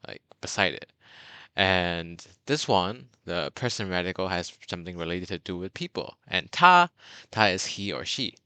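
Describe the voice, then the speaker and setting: Low-voiced bright man tutoring. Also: clear, resonant tone, dry recording. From Burma. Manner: chatty, many fillers and restarts. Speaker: language tutor. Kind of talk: casual video lesson.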